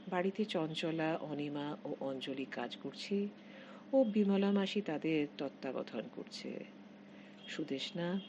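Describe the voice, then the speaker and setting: A woman narrating a scripted literary short story in measured, expressive phrases with pauses. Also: sits low. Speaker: author reading own short story. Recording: dull lo-fi audio with heavy noise.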